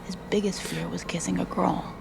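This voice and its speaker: Creaky, hushed young rebel woman. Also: fairly low pitch, little pitch movement, moderate pace, noisy background. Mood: hushed, earnest. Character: young female rebel gamer, teasing and earnest. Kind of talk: film acting. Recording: film clip with music behind. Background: film music.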